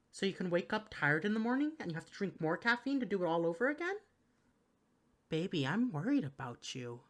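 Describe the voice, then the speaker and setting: A woman playing a worried, exasperated partner in an intimate audio roleplay, speaking to the listener with earnest, caring reproach. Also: medium pitch. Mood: concerned, reproachful, then worried, pleading. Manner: earnest, pleading, caring scolding.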